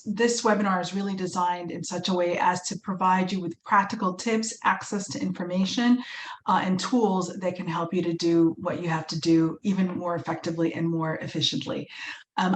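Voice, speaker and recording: A middle-aged woman from Uganda leading a live online fundraising workshop, upbeat and encouraging, often pausing with fillers and restarting her sentences. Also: fairly low pitch. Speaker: workshop presenter and fundraising instructor. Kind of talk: live webinar teaching. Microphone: video call. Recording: echoey room with faint ambient noise.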